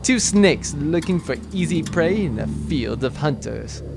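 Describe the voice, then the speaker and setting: A man's voice: on the high side, animated, measured pace, vocal fry, music in the background. A man playing a wry, scheming military officer and pilot in a sci-fi audio drama, dry and nonchalant. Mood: sly, smug.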